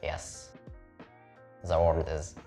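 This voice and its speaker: A man with a very low voice teaches Arabic to beginners in English, his own first language being Arabic. He speaks slowly and says each word twice so the learner can repeat it. Soft music plays faintly underneath. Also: level tone. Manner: repeats words slowly for the viewer to copy. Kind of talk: slow step-by-step language lesson.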